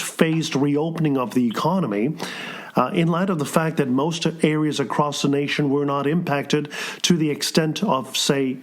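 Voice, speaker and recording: A man co-hosting a public affairs interview, courteously reading formal introductions and prepared questions. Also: fairly high pitch. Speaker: co-host of a public affairs show.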